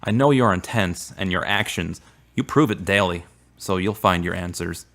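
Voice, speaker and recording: A man playing an earnest, devout young man in a radio drama, with measured, formal, preachy phrasing. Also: low-pitched, fairly bright tone. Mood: solemn, assured.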